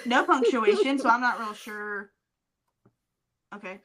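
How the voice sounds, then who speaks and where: A woman's voice: a little high, animated, unhurried, a crowd in the background. A woman co-hosting a casual comedic podcast, reading messages out with wry mockery, swearing casually and laughing often. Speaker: podcast co-host.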